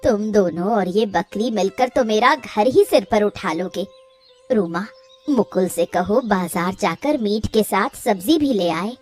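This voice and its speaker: Elderly mother-in-law, slow and chiding woman acting. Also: medium pitch, animated, darkish tone, faint music behind, natural voice. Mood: fondly exasperated. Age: older. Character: elderly village mother-in-law, fond and gently scolding. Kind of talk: Hindi cartoon family story dialogue.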